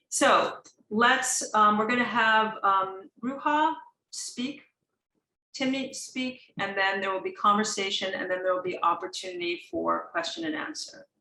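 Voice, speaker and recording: A woman hosting an academic event, introducing keynote speakers and reading their bios with halting pauses. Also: mid-range voice, sharp tone, reverberant, slight background noise, poor audio. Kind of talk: formal introduction read aloud.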